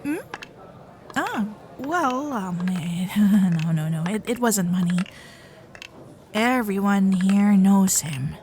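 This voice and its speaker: A woman's voice: medium pitch, animated, takes it slowly, darkish tone, slight background noise. A woman voicing a sweet, flustered young fox-spirit girlfriend in an ASMR roleplay, with a nervous, stammering, caring manner. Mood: amused, explaining.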